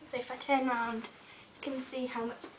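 A young woman in her twenties, recovering from a cold and a bit croaky, chatting casually through a hair tutorial in her bedroom. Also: sits high, level tone, moderate pace, far from the mic, slight background noise, low-quality recording. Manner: chatty, talks while styling hair, many ums. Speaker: beauty vlogger. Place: small bedroom with fairy lights. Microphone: webcam a little way off.

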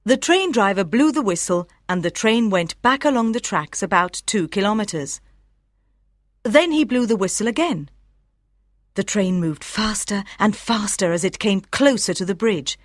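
Casting: female audiobook narrator, medium pitch, careful diction, measured storytelling, close dry studio sound. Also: lively intonation, no echo. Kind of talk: scripted story narration for language learners.

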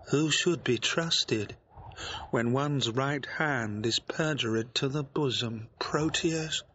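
Casting: betrayed gentleman, classical stage diction, very slow and forceful indignation, dull noisy recording. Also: mid-range voice. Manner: declamatory, measured, indignant. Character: betrayed young gentleman, wounded and righteous. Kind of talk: classical Shakespearean audio drama acting.

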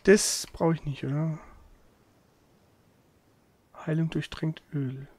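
A man's voice: fairly high pitch, steady tone, slow, darkish tone, breathy edge. A man chatting casually as he comments live on a video game he is playing. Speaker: let's player. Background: game music.

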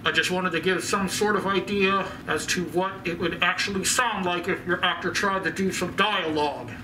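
A man's voice: high voice, fairly even intonation, moderate pace, sharp tone, pitched above the performer's own voice. A man in his thirties speaking muffled and strained through a thick silicone mask, recorded on a poor microphone. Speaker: channel host. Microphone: poor-quality microphone. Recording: distant cheap mic with faint noise.

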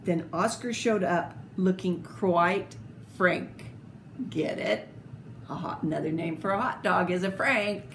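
An older woman reading a children's picture book aloud in an expressive, deliberate, theatrical way, with little asides. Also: on the low side. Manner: slow, deliberate, theatrical phrasing. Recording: clearly noisy home video recording.

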